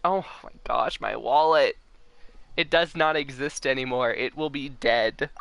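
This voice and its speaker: A man's voice: medium pitch, a little slow, vocal fry, fairly bright tone, no room sound. A man chatting casually on a game livestream, with faint noise and game audio behind him. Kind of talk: casual stream chat. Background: video game audio.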